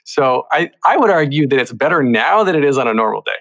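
A man's voice: mid-range voice, expressive, fast talker, a bit bright. A man hosting a podcast in a casual, chatty interview style with some banter. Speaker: podcast host.

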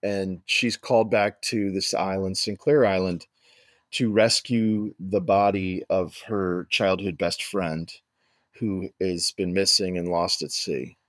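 Low-voiced American man, halting explanation. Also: steady tone, no echo. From East Coast of the United States. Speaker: podcast guest, writer. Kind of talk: conversational interview explanation.

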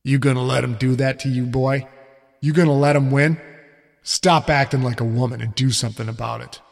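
A man's voice: mid-range voice, steady tone, fairly quick, gravelly, in a large hall. A man voicing a harsh, abusive dead father with a thick growl and a taunting manner. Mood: sneering. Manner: taunting, bullying.

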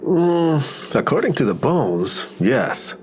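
An actor playing a wry, matter-of-fact medical examiner who explains with morbid humor in an audio drama. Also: male voice, mid-range voice, sing-song, slow pace, a little mellow, a little hiss or hum.

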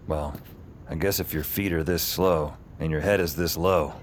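A man's voice: a bass voice, fairly even intonation, moderate pace, a little hiss or hum. An actor playing a wry, self-deprecating young man who narrates his strange adventures in a dry, conversational audio drama style. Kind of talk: first-person scripted audio drama narration.

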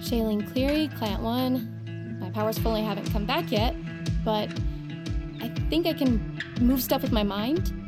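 A woman plays an upbeat teenage girl in an audio drama. Her voice is high and chatty. Loud music runs underneath. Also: measured pace, lightly acted. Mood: bright, friendly. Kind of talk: conversational audio fiction acting.

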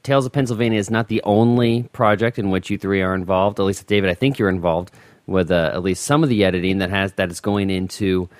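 A man hosting a podcast interview who reacts excitably and asks questions in a loose, chatty way. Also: low voice, no room sound, studio quality. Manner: excitable, quick reactions, laughs easily. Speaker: podcast host.

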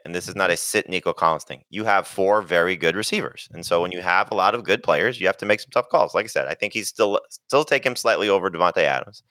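A man's voice: sits low, sharp tone, dry recording. A man co-hosting a podcast in casual chat, giving matter-of-fact analysis. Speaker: podcast co-host.

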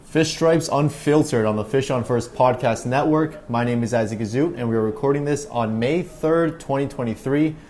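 A man's voice: medium pitch, normal speed, few pauses, noisy background. A man hosting a sports podcast, introducing and interviewing a guest.

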